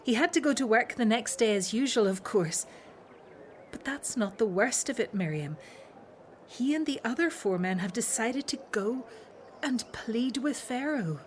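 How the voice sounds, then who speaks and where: A high female voice from an actress plays a fretful young-sounding Hebrew wife full of worry. It is biblical radio drama acting, with faint ambience behind the voice. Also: lively intonation, measured pace, a little hiss or hum. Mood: fearful. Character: anxious Hebrew wife, fearful for her brother.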